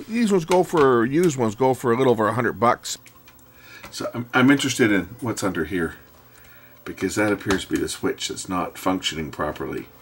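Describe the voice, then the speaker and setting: A man with a low, creaky voice narrates a hands-on repair. He talks casually and thinks aloud, pausing as he works things out. There is faint noise behind him, with small clicks from handling parts. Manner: thinking aloud, hesitant while working. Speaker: host repairing electronics.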